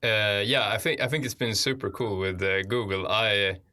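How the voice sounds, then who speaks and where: A man hosting an interview, chatting casually with lots of fillers and quick agreements. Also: on the low side, fairly even intonation, pushed and bright. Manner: frequent backchannels, casual filler-heavy. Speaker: podcast host.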